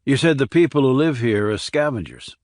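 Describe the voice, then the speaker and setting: A man's voice: medium pitch, lively intonation, a little fast, darkish tone, no echo, studio quality, voice pushed up, natural voice. A man voicing a blunt, skeptical military investigator with a terse, sardonic manner in audiobook dialogue.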